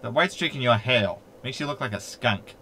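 Man playing a cheeky, animated, mocking hologram. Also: medium pitch, moderate pace, rough recording. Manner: exclamatory, mocking. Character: cheeky, insulting, rebellious male hologram slave on the run. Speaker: voice actor in audio drama. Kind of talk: sci-fi sitcom audio drama acting.

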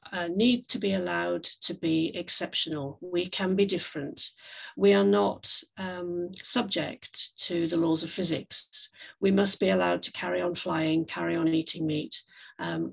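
A woman giving an online slide talk as a guest speaker over a video call, with hesitant, reflective, measured phrasing. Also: on the low side, creaky, husky, rough recording. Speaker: guest speaker, climate café facilitator. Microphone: video call microphone.

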